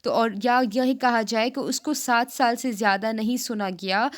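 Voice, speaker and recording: A Pakistani woman giving an informal explanatory lecture, halting and repetitive, switching into English for technical terms. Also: fairly high pitch, fairly even intonation. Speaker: law lecturer for exam aspirants.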